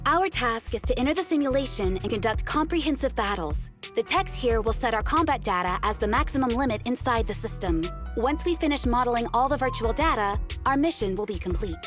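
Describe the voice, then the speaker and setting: Woman acting a composed, strict, higher-voiced deputy chief. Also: animated, fairly quick, few pauses, music in the background, close to an everyday voice. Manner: formal, precise, matter-of-fact. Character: composed, strict female deputy chief, coolly efficient briefer. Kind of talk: video game voice acting.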